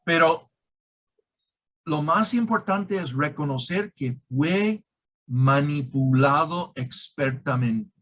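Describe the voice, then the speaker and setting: A man with a foreign accent who is not a native Spanish speaker, explaining as a guest expert in a halting, emphatic way with grammatical slips. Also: a little high, animated, a bit bright, low-quality recording. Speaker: guest expert on online scams. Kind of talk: explanatory conversation.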